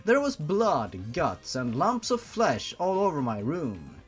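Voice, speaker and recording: A man's voice: a little high, huge pitch swings, slow pace, husky, faint music behind. A man reading a fan story aloud and breaking in with sarcastic, profane reactions. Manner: sarcastic and reactive, swears freely. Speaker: story reader.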